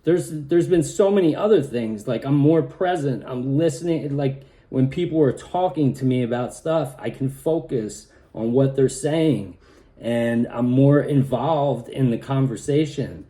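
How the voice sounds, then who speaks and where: A man talks at an unhurried, slow pace in a casual personal vlog, and his voice sounds slightly raspy and hoarse. His tone is mellow and his delivery is halting, with frequent restarts. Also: mid-range voice. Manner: halting, many restarts and fillers. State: hoarse from pollen. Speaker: vlogger.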